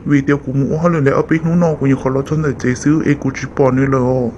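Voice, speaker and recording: A man's voice: medium pitch, fairly even intonation, very fast, a little mellow, natural voice. A man voicing a male character in a family drama cartoon, in dramatic dialogue. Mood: conversational. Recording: dull lo-fi, faint noise.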